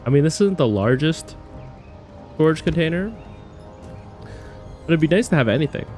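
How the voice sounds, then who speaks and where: Musing male gamer with animated pitch. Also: normal speed, faint music behind. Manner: musing, speculative. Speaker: gamer doing a playthrough. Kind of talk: casual game commentary, thinking aloud.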